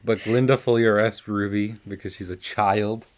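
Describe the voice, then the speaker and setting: Casting: young male podcast host with a low voice, chatty and rambling, loose with swearing. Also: steady tone, normal speed. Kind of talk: casual chatty recap of an animated series.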